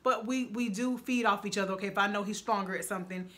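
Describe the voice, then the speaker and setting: A woman with a bright, forward voice talks in an earnest, chatty way. She explains things at length while co-hosting a casual couple's vlog. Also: mid-range voice, quick pace. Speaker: vlog co-host.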